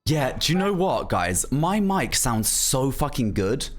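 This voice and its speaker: A man's voice: mid-range voice, big pitch swings, unhurried, crowd noise. A young man streaming, chatting casually to viewers in a rambling way full of "like" and "um". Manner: rambling, lots of "um" and "like", laughs easily.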